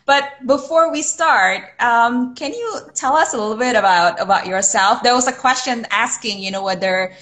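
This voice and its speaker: A woman's voice: fairly high pitch, moderate pace, hardly any pauses, a bit bright. Hesitant woman hosting a live interview. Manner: hesitant, many fillers and repeated words. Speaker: interview host. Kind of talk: casual live-stream interview.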